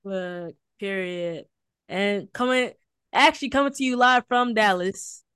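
A young woman with a Southern drawl hosts a casual podcast, teasing her co-host and hyping the show. Her voice is smooth and clear, and it sounds close and dry, with no room around it. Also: mid-range voice, unhurried, a bit bright, dry recording. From Houston, Texas.

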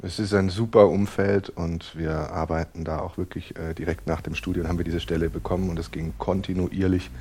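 Deep-voiced, defensive husband. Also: male voice, little pitch movement, brisk, hardly any pauses, mellow, slightly rough. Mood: earnest, hesitant. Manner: defensive, hesitant when explaining. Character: tense, self-justifying, nitpicking husband in couples therapy. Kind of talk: naturalistic German audio drama acting.

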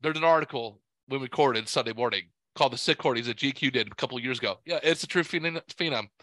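A sick man with a blocked nose hosts a casual podcast, cursing freely and wandering from point to point. His voice is bright and pushed forward. The recording is dry and close, with no room sound. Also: medium pitch, fast, no echo, low-quality recording. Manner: crude, profane, rambling. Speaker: podcast host.

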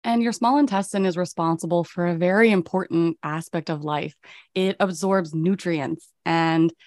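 Calm low-voiced woman hosting a podcast. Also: moderate pace, no echo, clean studio sound. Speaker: podcast host. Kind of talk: interview podcast.

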